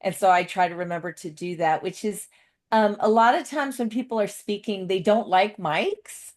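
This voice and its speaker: An earnest woman speaking up in a seminar, her voice mid-pitched and ordinary. She rambles a little as she works through her point in a conversational way. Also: normal speed, a little mellow. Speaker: seminar participant. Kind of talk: casual discussion.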